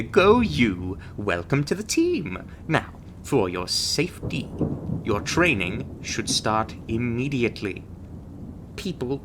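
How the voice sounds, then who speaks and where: Male performer as chirpy bureau recruiter, glib cheer, veiled menace, mid-pitched, ASMR roleplay, noisy rumbling background. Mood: breezy, reassuring. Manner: cheerful, glib delivery of grim threats.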